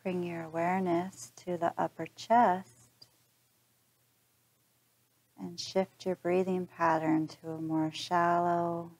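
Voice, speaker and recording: A woman leading a guided body scan relaxation in a calm, gentle, instructional manner. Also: lowish voice, slow pace, long pauses, low-quality recording. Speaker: meditation guide.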